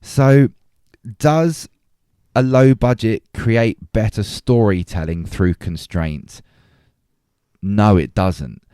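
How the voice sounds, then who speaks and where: Deep voiced guy on a film podcast, emphatic and argumentative, dry close sound. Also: slow pace, long pauses, mellow, clear, resonant tone, no room sound. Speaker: podcast host. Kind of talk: opinionated film debate, conversational.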